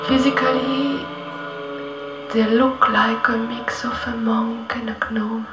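A woman in her thirties from Belgium telling a story as slow spoken word, in a dreamlike, deliberate manner with long pauses between short phrases. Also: fairly high pitch, little pitch movement. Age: 30s. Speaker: sound artist performing. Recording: roomy echo under loud music.

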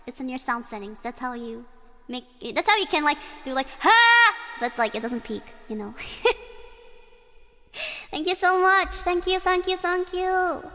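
A woman's voice: high voice, sing-song, a little slow, sharp tone, big-room sound. A woman streamer with a strong singing voice, chatting and giggling between songs on a karaoke stream. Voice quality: strong belting voice. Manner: giggly, chatty, reads chat aloud.

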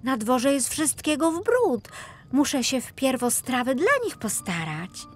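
A kind, caring young girl from a storybook, acted by a woman. She sounds gentle and earnest, with a high-pitched voice and faint music behind her. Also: big pitch swings, unhurried, heavily acted.

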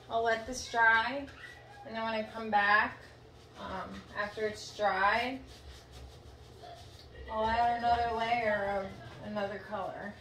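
Slow, gushing woman in an echoey room. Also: a little high, fairly bright tone. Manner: chatty and gushing, with fillers. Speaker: furniture painter. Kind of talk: casual how-to narration while working. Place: tiled room indoors at home. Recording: echoey room, distant mic, noisy.

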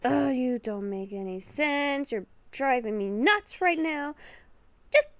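A stubborn young woman , acted by a woman argues in a high voice, whiny and exasperated. She speaks unhurriedly and emphatically in an improvised game roleplay, recorded dry. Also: lively intonation, slow, no room sound.

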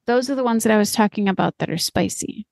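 Chatty woman cohost, lots of vocal fry, dry close mic. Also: medium pitch, darkish tone, dry recording. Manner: chatty, quick to agree. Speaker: podcast co-host. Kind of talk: casual gardening chat.

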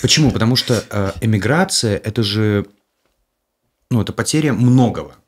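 A man in his late thirties with a low voice talks over a desk mic at a round table. He rambles and reflects, restarting sentences as he goes. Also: animated, moderate pace, husky. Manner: rambling, reflective, self-interrupting. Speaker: podcast guest. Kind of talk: casual chat. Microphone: desk mic on a stand.

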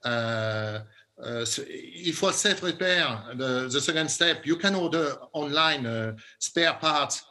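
A man's voice: on the high side, takes it slowly, sharp tone. A man in his fifties on a video call, explaining a process step by step with fillers. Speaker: company executive panelist. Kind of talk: panel discussion answer by video call. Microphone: video call webcam.

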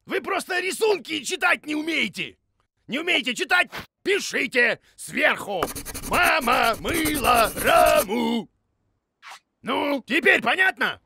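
Casting: blustering bearded monster teacher for kids' cartoon, grumpy and conceited, gravelly, highly animated delivery. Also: slow pace, no echo, full character voice. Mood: boastful. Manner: blustering, grumbling, exclaiming. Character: grumpy, boastful bearded shaggy male creature, a bumbling teacher. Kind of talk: children's cartoon acting.

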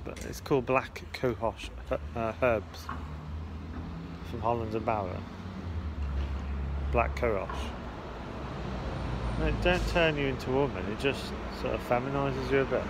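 A man's voice: unhurried, pauses often. A man, chatting casually outdoors on a handheld phone. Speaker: holiday vlogger. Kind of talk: casual chat. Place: outdoors under a concrete canopy outside an airport. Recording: noisy outdoor phone recording, traffic rumble.

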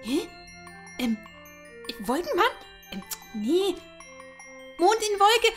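A woman voicing a muddled, excitable, childlike little star with a squeaky voice in a children's audio story. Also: takes it slowly, soft background music, heavily acted. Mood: flustered. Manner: scatterbrained, fumbling for words.

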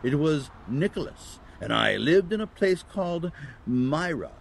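An actor playing a kindly, bumbling old Santa Claus in a family audio drama, gentle and a little stammering. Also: male voice, a little high, big pitch swings, unhurried, vocal fry, slight background noise, heavily acted. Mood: warmly reminiscing.

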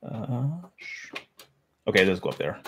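A man's voice: lowish voice, expressive, normal speed, gravelly, background noise. Rambling man unboxing a computer. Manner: rambling, self-deprecating, mutters while handling parts. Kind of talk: casual live chat while working. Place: small home office. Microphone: boom mic near desk.

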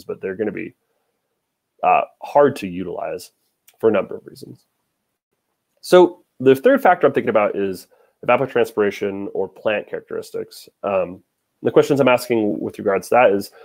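A man's voice: on the low side, darkish tone. A young American man from Nevada giving an informal slide lecture as a scientist over a video call, explaining by posing questions. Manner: explanatory, poses questions and answers them. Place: indoor room, video call.

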